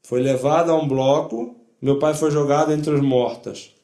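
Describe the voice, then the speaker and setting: An older man with a foreign accent in Portuguese, giving spoken testimony in a deliberate, emphatic way with short repeated phrases. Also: on the high side, fairly even intonation, warm tone, roomy sound. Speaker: Holocaust survivor giving testimony. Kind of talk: spoken first-person testimony to an audience.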